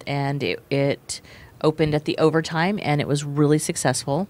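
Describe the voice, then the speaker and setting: A woman's voice: low-pitched, normal speed. A woman in her forties, an actress and playwright, chatting as a guest in a small studio with a handheld mic. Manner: animated, gestures while talking. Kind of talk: casual interview chat. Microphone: handheld microphone.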